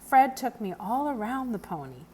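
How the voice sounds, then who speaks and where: Animated, higher-pitched woman reading a story aloud. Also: moderate pace, slight background noise. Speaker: book reader. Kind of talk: expressive read-aloud of a children's novel.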